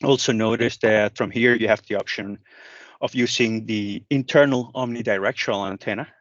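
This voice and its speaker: A man's voice: on the low side, steady tone, normal speed, dry recording, dull audio. A man giving a conversational product walk-through, explaining each step directly to the viewer. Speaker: product manager presenting a product demo. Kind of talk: software walk-through tutorial.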